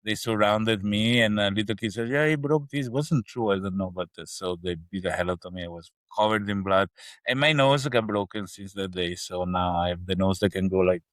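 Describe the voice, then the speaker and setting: Italian man in his fifties, low-pitched, animated storyteller, dry close sound. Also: a little fast, no room sound. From Italy. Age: 50s. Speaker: podcast co-host. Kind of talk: casual chat.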